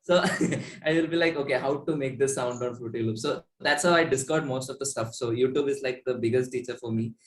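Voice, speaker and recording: A man's voice: mid-range voice, brisk, hardly any pauses, echoey room. A young man with an Indian accent chatting casually as an interviewed musician, in a rambling way peppered with "like". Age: young adult. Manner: rambling, frequent "like".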